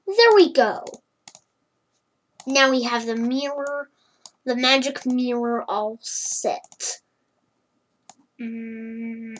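A child narrating a homemade number-block animation in a slow, wondering way, with many hums and murmurs. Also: medium pitch, fairly bright tone, rough recording.

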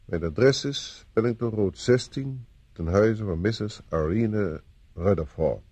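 An actor playing a self-assured police inspector in a radio play, with a commanding, probing, slightly condescending manner. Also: male voice, lowish voice, slow pace, dark tone, husky, dry recording. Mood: reading aloud. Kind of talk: Dutch radio play acting.

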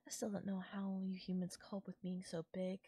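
A woman voicing a doting, possessive yandere fairy in an intimate audio roleplay, coaxing and softly teasing. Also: on the low side, completely flat delivery, normal speed, breathy edge, dry recording. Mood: delighted, doting. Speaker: voice actor. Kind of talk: intimate audio roleplay, ASMR-style acting.

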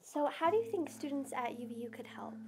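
A woman's voice: high voice, very animated, quick pace, a little mellow. A young woman acting as interviewer, putting short questions to a guest. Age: young adult. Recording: clear music behind the voice. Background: background music.

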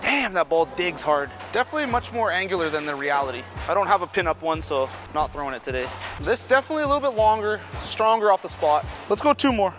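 A man in his thirties giving relaxed running commentary while bowling in a large indoor bowling alley, talking through each shot and adjustment. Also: high-pitched, fast talker, music in the background. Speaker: bowling ball reviewer.

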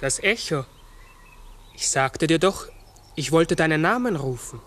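An actor playing a huge talking raven in a 1960s radio play, gentle and mysterious, speaking in a solemn, tender manner. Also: male voice, a little high, lively intonation, unhurried. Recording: 1960s mono radio recording with music.

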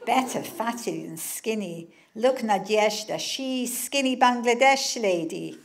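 A woman voicing a motherly older wife who speaks soft, coaxing broken English. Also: a little high, lively intonation, unhurried, creaky. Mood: defiant. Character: plump, motherly old Ukrainian wife who bakes.

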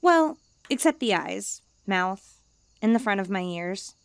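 A woman acting imperious young catgirl, very lively intonation, unhurried, self-centred, cutesy, ASMR roleplay. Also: mid-range voice, gravelly, dry recording. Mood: haughty, inviting. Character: haughty, needy young stray catgirl, bluntly self-centred.

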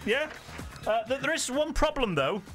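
A man's voice: very high-pitched, very animated, normal speed, a bit bright, soft background music. A man, presenting casual, jokey phone-in banter on the radio from a studio mic. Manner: jokey, chatty. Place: small radio studio, indoors. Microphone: studio condenser mic on boom arm.

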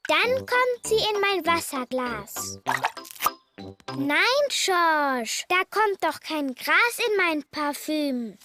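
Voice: very high-pitched, exaggerated voice. A performer voicing a cheerful, bossy little girl piglet in a cartoon, eager and childlike, with music behind the voice.

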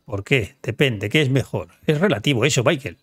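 A man playing an eager, jealous press officer in an improvised online game roleplay, excitable, fawning and repeating himself. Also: mid-range voice, moderate pace, husky, dry recording, clean studio sound. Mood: competitive. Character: eager, flustered male press officer named José Luis, jealous suitor.

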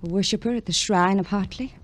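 A woman's voice: medium pitch, a little fast, close to an everyday voice. An actress playing a guarded, scornful young woman in an old radio crime drama, speaking in formal, theatrical phrases. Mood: bitter scorn. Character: bitter, guarded young female magazine illustrator. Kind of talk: 1940s-50s radio drama acting.

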